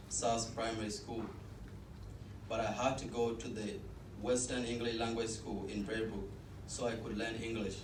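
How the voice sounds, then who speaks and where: An eighteen-year-old man from South Sudan, a student cultural ambassador, reading an earnest personal statement with small restarts. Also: medium pitch. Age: teens. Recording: echoey room, distant mic, noisy.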